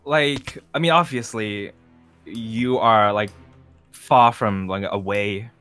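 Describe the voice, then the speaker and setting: A man's voice: fairly low pitch, slow pace, a bit bright, clear, resonant tone, no echo, soft background music. A man playing an uneasy young time traveler who lies badly, hesitating and stuttering through a game roleplay. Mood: troubled, hesitant. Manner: hesitant, stuttering, filler-heavy. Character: young man from the future, uneasy, evasive bad liar. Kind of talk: improvised Minecraft roleplay acting.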